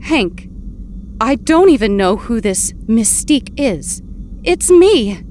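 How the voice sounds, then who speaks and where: Earnest, high-voiced, slow-speaking heroine. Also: female voice, very animated, darkish tone, slight background noise. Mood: confused, hurt. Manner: earnest, pleading, halting. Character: earnest, confused heroine returned from death. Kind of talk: audio drama acting.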